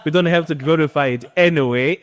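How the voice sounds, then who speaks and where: A man's voice: fairly high pitch, fairly quick, no room sound. Male podcast host, dry close sound. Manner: affirming with frequent mm-hmms, laughs lightly. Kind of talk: interviewer asking questions.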